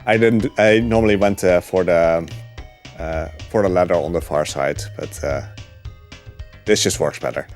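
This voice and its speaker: A man with a fairly low voice explains his speedrun as he plays. He talks in an easygoing, chatty way, with frequent "uh"s between thoughts. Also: moderate pace, soft background music. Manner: relaxed, chatty, many fillers. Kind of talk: live gameplay commentary.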